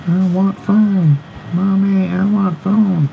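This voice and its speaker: Man putting on a high-pitched whiny childish voice. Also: steady tone, slow, gravelly, narrow, tinny audio, a little hiss or hum, full character voice. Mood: pleading.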